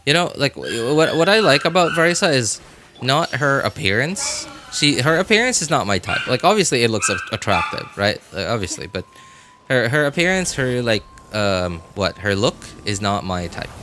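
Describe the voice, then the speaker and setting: A man chatting casually as he streams a game, rambling and halting as he hunts for words in a self-deprecating way. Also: mid-range voice, big pitch swings, sharp tone, a little hiss or hum. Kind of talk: casual livestream chat while gaming.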